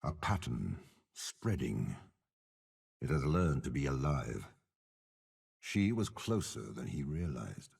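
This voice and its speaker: An actor playing a commanding, cold, cunning older warlord with demonic power, speaking in slow, measured, eloquent game voice lines with a gravelly rasp. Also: male voice, rumbling low, very animated, a little mellow, exaggerated voice. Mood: cold, ominous. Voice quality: gravelly, raspy. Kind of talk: dramatic game character voice lines.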